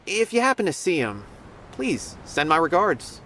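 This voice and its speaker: A man's voice: on the high side, very animated, normal speed, bright, forceful tone, a little hiss or hum. A man playing an earnest, courteous law officer in a video game, politely telling his account over music. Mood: friendly. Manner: polite, storytelling. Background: background music.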